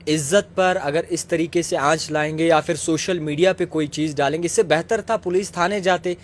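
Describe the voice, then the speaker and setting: A male interviewer with a somewhat high voice. He questions persistently, challenging the person on the other end of a phone interview. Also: fairly even intonation, normal speed, a little hiss or hum.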